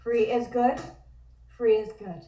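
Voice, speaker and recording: A woman acting a wry, guarded, sharp-tongued married woman in a period comic table read, with a dry, sardonic delivery. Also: fairly high pitch, steady tone, slow, muffled recording. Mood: cool, polite. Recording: roomy, distant microphone.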